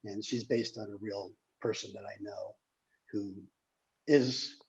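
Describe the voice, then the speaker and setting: A screenwriter hosting casually, talking in a hesitant, rambling way with a husky, gravelly voice over a dull call recording. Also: low voice, fairly even intonation, a little slow, darkish tone. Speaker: screenwriter and table read host. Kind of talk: casual chat.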